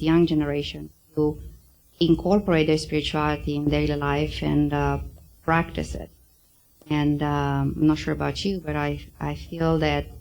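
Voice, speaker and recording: A middle-aged woman with a Romanian accent giving a thoughtful public lecture, often pausing with ums. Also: low voice, creaky, a little mellow, rough recording. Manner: thoughtful, hesitant with many ums. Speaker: psychiatrist giving a talk.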